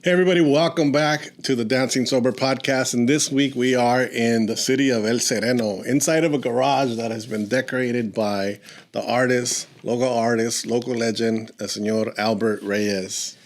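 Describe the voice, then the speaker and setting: A man hosts a relaxed podcast interview. His pitch sits in an ordinary male range, and he talks informally, with casual swearing. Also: normal speed. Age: 50s. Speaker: podcast host. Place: decorated home garage.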